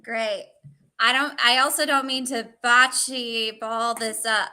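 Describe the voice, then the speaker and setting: A woman competing in a pun contest, talking in a slow, drawn-out, sweet way over a video call. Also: a little high, steady tone, sharp tone. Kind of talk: pun banter.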